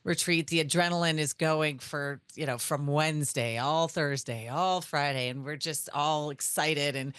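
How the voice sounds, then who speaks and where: A woman's voice: low voice, unhurried, hardly any pauses, a bit bright, clear, resonant tone, dry recording. A woman co-hosting a podcast, chatting casually in a bubbly, exclamatory and gushing way. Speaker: podcast co-host.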